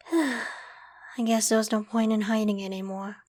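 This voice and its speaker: A woman's voice: mid-range voice, fairly even intonation, unhurried, a little hiss or hum, lightly acted. A woman voicing a friendly, self-conscious young half-human werewolf who talks casually and protectively to the listener in an ASMR roleplay. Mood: embarrassed. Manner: casual, conversational, gently protective. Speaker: voice actor in audio roleplay.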